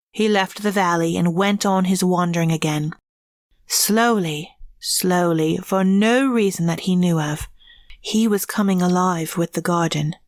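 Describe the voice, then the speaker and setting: A woman giving scripted storybook narration in a measured, reflective way. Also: on the low side, no echo, clean studio sound.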